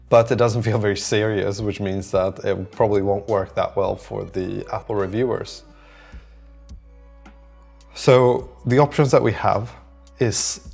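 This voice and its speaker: A man with a fairly low voice talks casually to his vlog audience as he works. He rambles reflectively, circling his thoughts and letting some trail off. Soft music plays faintly behind him. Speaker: app developer documenting his work on a vlog. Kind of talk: casual thinking-aloud vlog.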